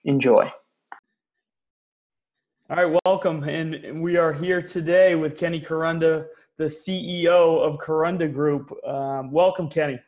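A man's voice: a little high, fairly even intonation, a little slow, a little mellow, dull audio. A man hosting an interview show, introducing his guest and asking questions. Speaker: podcast host, interviewer.

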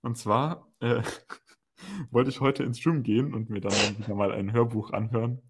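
A man's voice: medium pitch, steady tone, unhurried, breathy edge. A young man co-hosting a podcast, chatting casually in an informal, slangy way. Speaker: podcast co-host. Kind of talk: casual chat.

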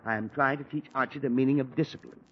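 A man's voice: medium pitch, fairly even intonation, quick pace, slightly rough, close to an everyday voice. An actor playing an exasperated middle-aged father with a headache, in a 1940s radio sitcom, sarcastic and fussy. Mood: stern. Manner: fussy, sarcastic, flares into shouting. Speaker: father of the house. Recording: dull old radio broadcast with faint hiss.

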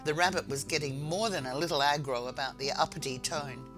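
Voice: medium pitch, steady tone, brisk, music in the background. An author reading a whimsical short story aloud as a narrator.

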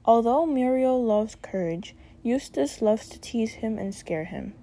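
A woman's voice: fairly high pitch, expressive, unhurried, mellow, slight background noise. A young woman hosting a casual chat, describing her fears and a cartoon in a chatty, vivid way. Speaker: host. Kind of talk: casual talk.

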